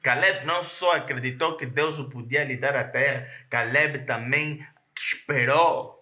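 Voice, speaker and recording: A man's voice: mid-range voice, unhurried, pushed and bright, echoey room. A male pastor preaching a sermon, emphatic and often repeating words as he searches for the next phrase.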